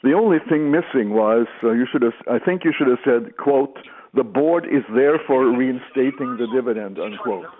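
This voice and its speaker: A man's voice: mid-range voice, normal speed. A man calling in as an investor, making conversational comments on an earnings call. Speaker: investor caller. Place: conference call. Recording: tinny, slightly noisy phone line.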